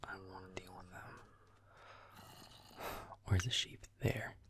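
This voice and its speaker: Man playing a grumpy, husky, whispery werewolf boyfriend. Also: sits low, level tone, takes it slowly, a bit bright, exaggerated voice. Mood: irritated muttering. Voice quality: breathy, husky, gravelly whisper. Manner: sullen muttering, swearing, sarcastic. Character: grumpy, foul-mouthed young werewolf boyfriend gaming late at night. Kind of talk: intimate roleplay audio, muttered gaming commentary.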